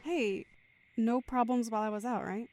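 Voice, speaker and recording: A woman's voice: on the high side, fairly even intonation, normal speed, a little mellow, slight background noise, natural voice. A woman playing a tired, caring mother in an audio drama. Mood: tired, practical. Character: caring, tired mother hosting a sick young guest.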